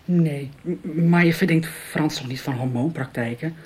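An actress playing a sharp, probing farmer's wife with a teasing manner in a radio soap. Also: female voice, sits low, a little slow. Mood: teasing, suspicious. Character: sharp, prying farmer's wife visiting her brother-in-law.

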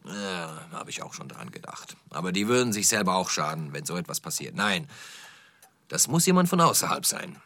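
A man's voice: sits low, very animated, normal speed, pushed and bright, no room sound, heavily acted. An actor playing a brash, slangy concert promoter with worries about his business, in a comic radio play. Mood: worried. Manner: slangy, boastful, rambling.

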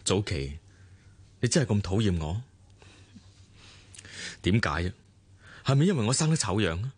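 Earnest Hong Kong businessman, very lively low voice. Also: normal speed, slightly rough, no room sound. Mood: hurt, earnest. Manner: earnest, placating, persuasive. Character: self-made businessman husband, earnest, devoted, patient. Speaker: radio drama actor. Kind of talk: Cantonese radio drama acting, dialogue.

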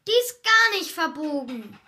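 A woman's voice: sits high, very animated, unhurried, sharp tone, exaggerated voice. A woman playing a pert, tattling little sister in a children's radio play, cheeky and eager.

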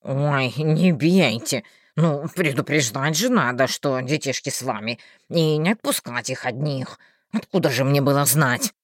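Brief: a woman doing a whiny, nasal werewolf peasant, low and drawling, groveling, dry close sound. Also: animated, measured pace, no room sound, exaggerated voice. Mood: whining. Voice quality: nasal, whining.

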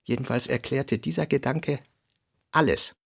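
Husky guy, bit of fry, doing literary narration for radio. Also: medium pitch, moderate pace. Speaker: second narrator.

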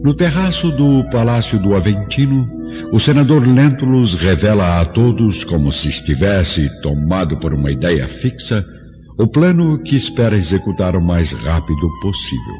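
A man's voice: on the low side, lively intonation, normal speed. A man narrating a radio drama in a solemn, formal, scripted style. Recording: dull lo-fi audio, faint music behind.

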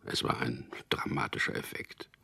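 An actor in a German radio drama playing a smooth-talking propagandist who tells and acts out his own story in an earnest way. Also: moderate pace, sharp tone, in a whisper, lightly acted. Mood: reflective.

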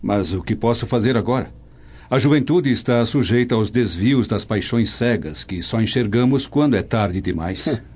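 A man's voice: fairly low pitch, lively intonation, normal speed, a little mellow, slightly rough. An actor playing a brooding, apprehensive Roman nobleman in a radio drama, with formal, earnest, theatrical diction. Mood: earnest, regretful. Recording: dull audio over music bed.

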